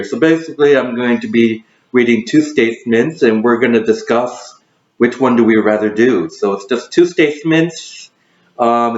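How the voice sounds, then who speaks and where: Guy running a would-you-rather chat, lots of um and restarts, echoey dull audio. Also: mid-range voice. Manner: hesitant, many fillers and restarts. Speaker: host. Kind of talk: casual conversation game. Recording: roomy, dull lo-fi sound.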